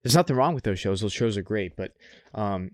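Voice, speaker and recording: A man's voice: fairly low pitch, lively intonation, brisk, darkish tone, dry recording, clean studio sound. A man co-hosting a podcast, chatting casually and enthusiastically with plenty of slang and some swearing. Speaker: podcast co-host. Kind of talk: casual podcast chat about anime.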